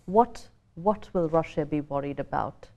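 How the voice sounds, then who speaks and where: A woman from Sri Lanka hosting a TV interview, polite and steady, with filler ums. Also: low voice, measured pace, highs cut off.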